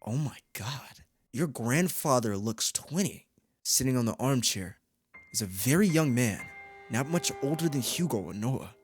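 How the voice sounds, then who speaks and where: A man's voice: medium pitch, a little hiss or hum. A man reading a visual novel aloud as a streamer, mixing dramatic narration with casual commentary, his voice a little tired. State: voice tiring.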